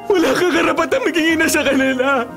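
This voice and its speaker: A man's voice: extremely high pitch, quick pace, creaky. A man acting a grieving, enraged young father in a Filipino radio drama, anguished and accusatory in delivery. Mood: anguished rage. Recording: dramatic music under the voice. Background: music.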